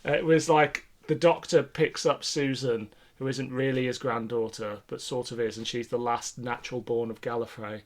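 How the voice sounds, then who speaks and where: A man's voice: mid-range voice, fairly even intonation, moderate pace, few pauses. A man co-hosting a podcast, talking casually with opinionated, sweary remarks in a review chat. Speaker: podcast co-host.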